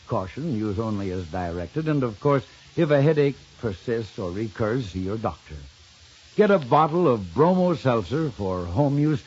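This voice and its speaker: Lively, husky 1950s radio announcer man. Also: medium pitch. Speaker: announcer and sponsor's pitchman. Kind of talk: 1950s radio ad read, folksy sales pitch. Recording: dull old 1950s radio recording.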